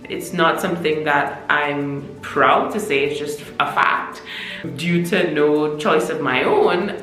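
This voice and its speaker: Young woman, Trini-Bajan Caribbean accent, low-pitched, frank self-mocking vlogger, roomy echo. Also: a bit bright. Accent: Caribbean mix of Trinidadian and Barbadian. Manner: chatty, candid, self-deprecating. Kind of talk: casual talking-head vlog. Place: room in Mexico City.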